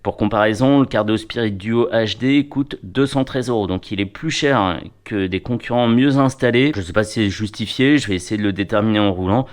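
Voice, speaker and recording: A man's voice: lowish voice, fairly quick, few pauses. A man giving a casual hands-on product review, playful and wry, often talking to himself and to the gear.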